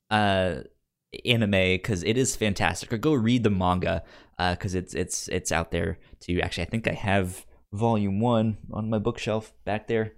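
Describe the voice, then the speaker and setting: A man co-hosting a podcast, chatting casually as he gives recommendations, often stammering over word starts. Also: on the low side. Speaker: podcast co-host. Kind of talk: casual chat, giving recommendations.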